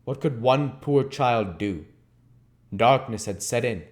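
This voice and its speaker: A man's voice: medium pitch, slow, darkish tone. A man reading a classic novel aloud as a dramatic, emphatic narrator. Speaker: audiobook reader.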